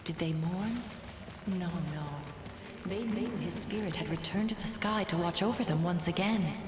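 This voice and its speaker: A woman playing a solemn, reverent storyteller who narrates a myth in a video game with grave, measured drama. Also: fairly low pitch, roomy sound, long reverb tail, background noise, natural voice. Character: solemn, reverent female storyteller recounting a desert legend.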